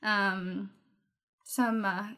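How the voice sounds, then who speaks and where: A woman's voice: mid-range voice, steady tone, drawn-out words, sharp tone. A woman in her twenties from Denmark, speaking English with a Danish accent as a podcast guest, talking openly with frequent laughs. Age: 20s. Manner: open, frank, laughs often between thoughts. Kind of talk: casual personal conversation.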